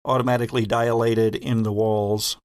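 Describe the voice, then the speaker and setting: A man hosting a tabletop horror game as game master, narrating scenes in a measured, descriptive way. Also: lowish voice, level tone.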